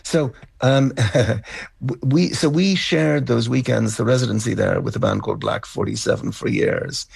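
A middle-aged or older Irish man telling stories as a musician being interviewed, reflective and somewhat halting. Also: medium pitch, very animated, creaky, darkish tone, husky, no room sound. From County Cavan, Ireland. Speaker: interview guest, band musician. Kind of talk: conversational storytelling interview.

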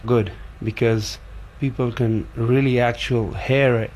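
A man's voice: medium pitch, steady tone, measured pace, darkish tone, slight background noise, rough recording. A man speaking in an interview in a hesitant way, searching for his words.

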